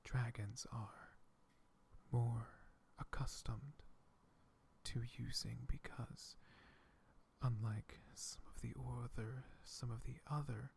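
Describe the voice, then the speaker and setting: A man voicing a gentle, soothing dragon in a soft-spoken ASMR roleplay, calm and slow, with clicking tongue sounds. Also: medium pitch, creaky, slight background noise.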